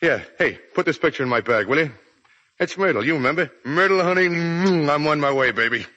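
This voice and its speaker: A man's voice: on the high side, animated, fairly quick, pushed and bright, muffled recording. An actor playing a cocky, slangy American serviceman in a 1940s radio drama, jaunty and wisecracking.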